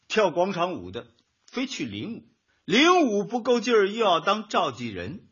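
Raspy, theatrical older man, swooping intonation. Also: sits high, huge pitch swings, fairly bright tone, exaggerated voice. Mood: mocking. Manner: drawn-out, preachy, quotes sayings. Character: pedantic older husband, a teacher, sarcastic and nagging. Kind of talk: radio drama acting, light comedy. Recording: dull audio with music bed.

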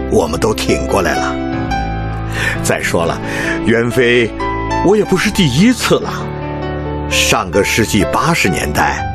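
An actor playing a devoted older doctor, earnest and persuasive, in a Chinese radio drama. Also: male voice, fairly low pitch. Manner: earnest, rhetorical delivery. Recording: music bed behind the voice.

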